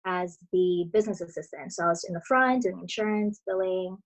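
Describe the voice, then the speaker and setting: A woman in her twenties, born in Brazil and raised in Florida, giving relaxed, chatty interview answers as a dental student over a video call. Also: on the low side, brisk, low-quality recording. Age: 20s. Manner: chatty, relaxed, many fillers.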